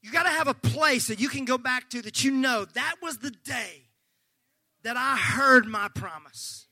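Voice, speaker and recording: A male pastor preaching a sermon, fervent and folksy, joking with the congregation and breaking into shouts. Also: very high-pitched, unhurried, dry recording, clean studio sound. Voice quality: slightly gravelly.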